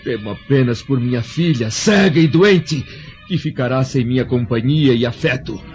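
A man's voice: mid-range voice, normal speed, a little mellow, lightly acted. A man playing a proud, defiant aging Roman senator held captive in a radio drama, speaking in haughty, formal declamation. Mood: defiant, grave. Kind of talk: theatrical radio drama acting. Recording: dull lo-fi radio drama, music behind.

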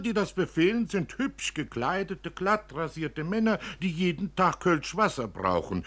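A man's voice: on the high side, bright, forceful tone, pitched above the performer's own voice, heavily acted. A man voicing a worried, bitter father who lectures his young daughter emphatically and indignantly, as in a literary story.

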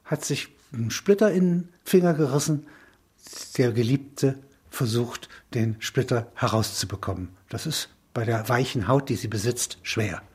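A man with a markedly husky, breathy voice at an ordinary pitch speaks as a reflective intellectual in conversation. He muses in halting phrases, often checking himself with a short affirming word. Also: darkish tone. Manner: musing, halting phrases punctuated with "ja". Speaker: interviewee, filmmaker.

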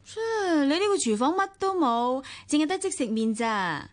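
A woman's voice: high voice, sing-song, normal speed. A woman acting a well-off, spoiled woman in love in a Cantonese radio drama, with a Hong Kong accent, teasing and coquettish or reflective as she narrates.

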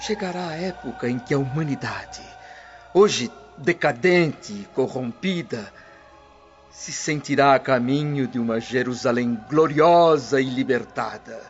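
An actor playing a humble, gentle freed preacher in a radio drama, speaking in unhurried, earnest sermons. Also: male voice, medium pitch, lightly acted. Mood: preaching. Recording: dull lo-fi audio with faint music.

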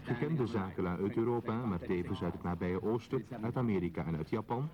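A man's voice: lowish voice, brisk, lo-fi sound, natural voice. A man narrating a radio drama in a formal, scripted documentary style. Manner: formal, reporting. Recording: crowd murmur behind voice.

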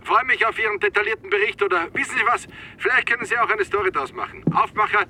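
An actor playing a brisk, jovial army newspaper boss on the telephone, hearty, hurried and commanding, in a German radio play. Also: male voice, high-pitched, bright, forceful tone, slight background noise.

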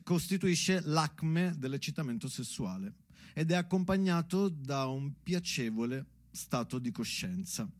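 A man performing a theatrical monologue like a spoken letter, deliberate and measured, pausing between short phrases. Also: medium pitch, a little mellow. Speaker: stage actor performing his own monologue.

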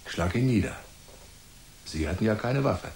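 Gruff crooked cop in a radio play, deep and blunt, echoey room. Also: male voice, expressive, normal speed, darkish tone, slight background noise. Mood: bossy, scheming. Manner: blunt, slangy, domineering. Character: gruff, bossy, self-serving male police detective. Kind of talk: noir radio drama acting.

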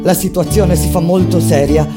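A woman playing a grave resistance fighter, narrating a theatrical monologue in a solemn, deliberate and emphatic way. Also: lowish voice, steady tone, natural voice. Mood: tense storytelling. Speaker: stage actress narrator. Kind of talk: dramatic theatrical monologue over live music. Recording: loud live music under the voice. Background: guitar and instrumental music.

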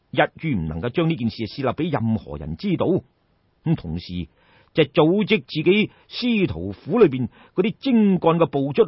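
A man's voice: on the high side, slightly rough, no room sound, dull audio. A man with a Cantonese accent telling a story in a colloquial, theatrical traditional oral style, addressing listeners directly. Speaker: storyteller, audiobook narrator.

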